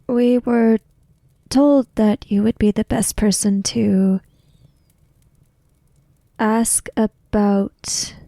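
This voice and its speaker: Young samurai woman, female player acting, tabletop game: mellow, very slow, formal, polite, reserved questioning. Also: mid-range voice, steady tone, gravelly, no room sound. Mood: polite, inquiring.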